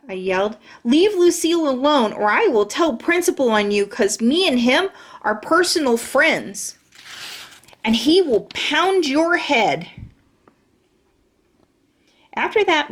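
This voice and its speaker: A woman's voice: on the high side, animated, unhurried, a bit bright. A woman voicing a brash, chatty kindergarten girl who tells her own story in childish grammar. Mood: indignant. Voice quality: childlike, bossy, ungrammatical storytelling. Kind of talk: audiobook narration.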